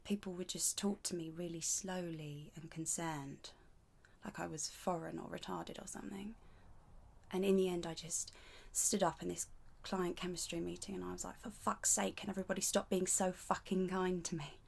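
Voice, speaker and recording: A woman acting a dramatic monologue as a frank, sweary, emotionally raw young woman, breathy and often dropping to a near whisper. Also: fairly low pitch, steady tone, normal speed. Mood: confiding, shaken.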